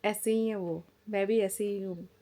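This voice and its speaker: A woman's voice: medium pitch, fairly even intonation, moderate pace, mellow. A woman in her forties from India, chatting frankly and casually to camera at home as a family vlogger. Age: 40s. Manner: frank, chatty, talks plainly and openly.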